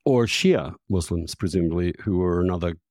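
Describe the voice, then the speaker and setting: A man's voice: low voice, moderate pace, a little mellow, no room sound, studio quality. A man hosting a conversational interview, discursive and often breaking off to rephrase himself. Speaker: podcast host, interviewer.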